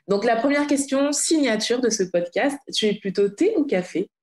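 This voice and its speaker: A woman's voice: a little high, lively intonation, normal speed, darkish tone. Echoey woman hosting a podcast. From France, Paris suburbs. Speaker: podcast host. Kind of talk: conversational podcast interview. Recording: roomy, echoey sound.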